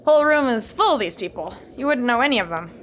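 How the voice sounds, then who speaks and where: A woman's voice: a little high, animated, fast, a bit bright, some chatter behind. A woman playing a frazzled, overworked wedding organizer in a sitcom podcast, flustered and chatty. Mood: nervous, evasive.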